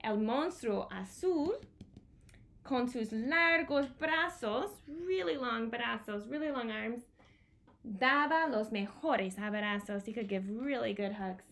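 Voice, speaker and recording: A woman's voice: fairly high pitch, expressive, takes it slowly, fairly bright tone. A woman in her thirties presenting a bilingual picture-book storytime for young children, expressively explaining and repeating key words. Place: small home room with bookshelves, indoors.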